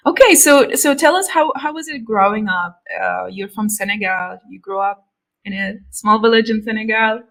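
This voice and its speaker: A woman's voice: fairly high pitch, normal speed, fairly bright tone. A woman hosting a podcast, reading an upbeat scripted introduction and then chatting eagerly with her guest. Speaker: podcast host.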